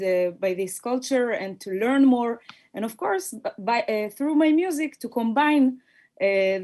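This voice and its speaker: A woman's voice: a little high, darkish tone. A woman from Israel with an Israeli accent and a native Hebrew background, introducing herself as a guest musician in a thoughtful, hesitant way with many fillers. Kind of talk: conversational self-introduction.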